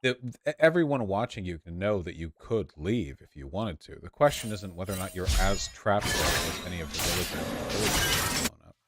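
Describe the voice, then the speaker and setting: A man's voice: low voice, lively intonation, normal speed, hardly any pauses, over music. A man in casual conversation, blunt and sardonic. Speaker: conversation partner.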